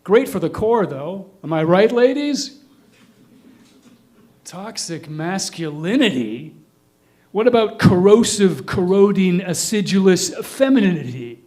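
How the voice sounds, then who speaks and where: A man's voice: high-pitched, animated, unhurried, a little mellow. A man performing a spoken-word monologue live, with a dramatic, darkly sardonic, ornately enunciated delivery.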